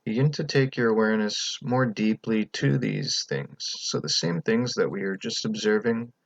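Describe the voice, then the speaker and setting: A man leading a guided meditation as the teacher, speaking in a calm, gentle, unhurried way with pauses between instructions. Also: lowish voice, vocal fry, no room sound, dull audio.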